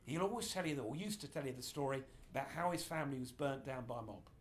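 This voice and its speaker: A man's voice: mid-range voice, animated, fast talker, slight background noise. A middle-aged man giving an informal history lecture in a small indoor room, gesturing broadly as he explains. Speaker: speaker giving a history talk.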